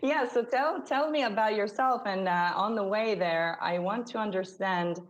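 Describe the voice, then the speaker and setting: A woman's voice: mid-range voice, moderate pace. A woman moderating a conference panel, asking questions directly and in an upbeat way.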